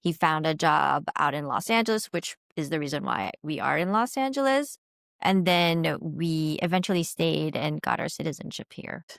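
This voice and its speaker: A woman in her thirties from the Philippines talks through her answers in a thoughtful, searching way. Her voice sits a little low. The sound is dry and close, with no room around it. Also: lively intonation, normal speed, creaky, a bit bright, no echo. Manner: thoughtful, hedging with fillers. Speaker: guest. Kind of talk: conversational interview answers.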